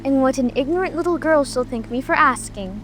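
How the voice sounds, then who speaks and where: A woman's voice: high-pitched, expressive, brisk, fairly bright tone, soft background music. A woman playing a curious, polite little girl who chatters and wonders to herself in a dramatic audiobook. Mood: curious wondering. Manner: musing aloud to herself.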